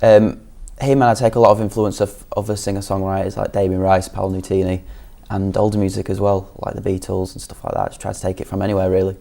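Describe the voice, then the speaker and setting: Young male guest, deep and gravelly, shy interviewee. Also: flat delivery, fast talker, few pauses, mellow. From Leeds, England. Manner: modest, hesitant, many ums. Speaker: singer-songwriter guest.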